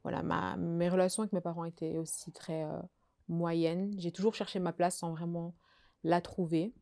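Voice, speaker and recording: Low, mellow-voiced Belgian-born woman guest. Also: little pitch movement, normal speed, creaky. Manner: hesitant, many fillers and restarts. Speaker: guest sharing her testimony. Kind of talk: casual conversational testimony.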